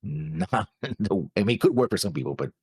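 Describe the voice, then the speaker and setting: Super deep raspy guy rambling casually, voice jumps around a lot, kinda fry-y. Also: animated, moderate pace, darkish tone, no echo, studio quality. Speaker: reaction show co-host. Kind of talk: casual discussion, reading out notes.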